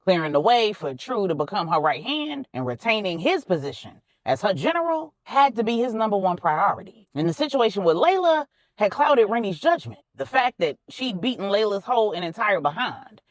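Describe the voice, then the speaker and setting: Female narrator for colloquial fiction; animated delivery, emphatic and conversational, in a dry recording. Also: medium pitch, normal speed, few pauses, no room sound. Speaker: storyteller, author narrating own fiction. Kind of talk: expressive audiobook-style storytelling with colloquial phrasing.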